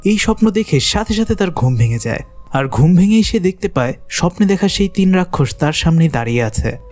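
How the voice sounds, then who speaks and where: Guy narrating a kids cartoon story, very lively, highish voice, music behind. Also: fairly quick.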